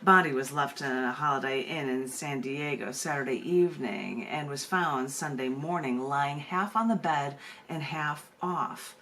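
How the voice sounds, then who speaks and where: A woman's voice: rumbling low, unhurried, hardly any pauses, a bit bright. A woman hosting an open mic and reading her poetry aloud, moving between casual talk and narrative reading. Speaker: open mic host and poet. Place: video call open mic. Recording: noisy room sound.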